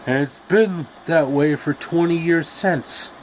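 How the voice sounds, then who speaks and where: A man voicing a wistful tin man from a storybook, rambling and halting as he talks. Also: a little high, darkish tone, a little hiss or hum. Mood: forlorn. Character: wistful, chatty tin man pining for lost love.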